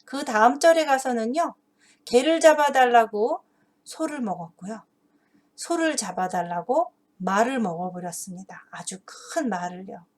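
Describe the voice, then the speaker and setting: A woman explaining a children's story and its moral as a teacher, earnest and deliberate, phrase by phrase. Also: on the high side, expressive. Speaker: host and teacher of an English Bible story channel for parents.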